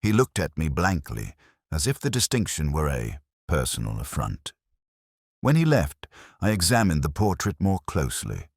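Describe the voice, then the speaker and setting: Very deep, husky male narrator. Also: no room sound, studio quality. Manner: measured, literary, brooding. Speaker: narrator playing a melancholy detective. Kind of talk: scripted first-person audio mystery narration.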